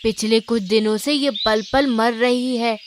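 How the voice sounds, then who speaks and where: A woman's voice: fairly high pitch, fairly even intonation, measured pace, noisy background, close to an everyday voice. A woman voicing a worried, sorrowful mother with a lamenting manner in a cartoon horror story.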